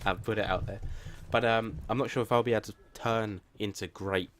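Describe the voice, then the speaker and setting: A man with a somewhat low, plain and flat voice talks casually to his viewers about his gaming channel. He rambles and hesitates, restarting sentences often. He keeps running himself down as he goes. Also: fairly quick, slight background noise. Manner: self-deprecating.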